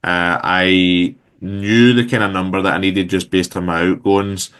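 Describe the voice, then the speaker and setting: A man's voice: low voice, a little slow, clean tone. A man in his thirties with a Glaswegian Scottish accent, chatting casually as an interview guest, loose and rambling with lots of fillers. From Scotland. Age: 30s. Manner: relaxed, rambling with many fillers. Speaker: guest entrepreneur.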